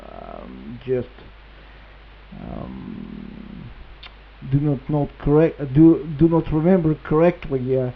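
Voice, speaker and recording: Male ham operator, Russian accent, very slow hesitant English, casual radio chat, phone-like sound with faint hiss. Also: medium pitch, big pitch swings, creaky. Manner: halting non-native English with long hesitations. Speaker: amateur radio operator, reflector administrator. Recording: tinny band-limited radio link with hiss.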